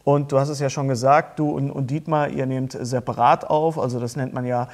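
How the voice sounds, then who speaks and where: A middle-aged man hosting a casual studio interview, halting and rephrasing his questions as he goes. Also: mid-range voice, fairly even intonation, darkish tone. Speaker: talk-show host. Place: small indoor talk-show studio.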